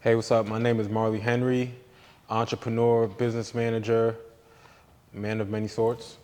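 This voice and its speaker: A man from New York, a guest entrepreneur, talking casually about fashion in an easygoing, slangy way. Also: mid-range voice. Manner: relaxed, drawn-out, easygoing slang. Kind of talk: casual interview chat. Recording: long reverb in the room.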